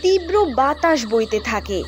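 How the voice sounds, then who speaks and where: A woman narrator reads a fairy tale in a high, very animated voice. Her scripted delivery is dramatic, with faint music in the background. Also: moderate pace.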